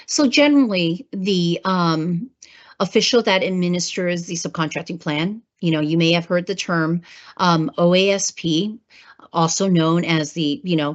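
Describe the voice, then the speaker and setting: A woman with a hoarse voice from a cold, presenting a government training webinar, clearing her throat and using many fillers. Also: fairly low pitch. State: hoarse, getting over a cold. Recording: muffled lo-fi webinar audio.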